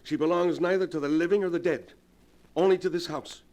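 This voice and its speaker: An actor playing a grave, resolute doctor in an earnest, theatrical 1940s radio melodrama style. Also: male voice, on the high side, steady tone, fairly quick, a little mellow, close to an everyday voice. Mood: grave, urgent. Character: grave, resolute male doctor plotting against evil spirit. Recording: vintage 1940s radio recording.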